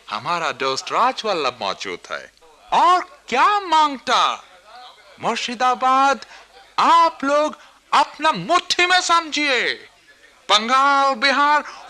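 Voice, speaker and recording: A man's voice: very high-pitched, sharp tone, full character voice. A man playing a scheming British colonial trader in a radio drama, speaking broken Hindi with an English accent and English phrases in a declamatory, persuasive way. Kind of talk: theatrical radio drama acting.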